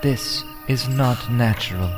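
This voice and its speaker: A man voicing a wary male elf leader in a fantasy audio drama, with flat, caustic, terse delivery. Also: medium pitch, slow pace, loud music behind the voice, pitched above the performer's own voice, lightly acted. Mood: flat, uneasy.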